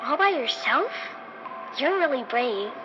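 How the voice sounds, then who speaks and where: Very high, lilting, eerie little girl. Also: sing-song, measured pace, music in the background. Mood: friendly, curious. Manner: childlike, singsong, naive. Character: eerily cheerful little girl, innocent and strange. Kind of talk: 1950s radio drama acting. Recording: muffled vintage radio sound, clear noise bed.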